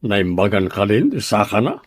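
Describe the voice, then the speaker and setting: A man's voice: fairly low pitch, sing-song, normal speed, no echo, clean studio sound. A man playing a blunt, impatient working man in an Ilocano audio drama.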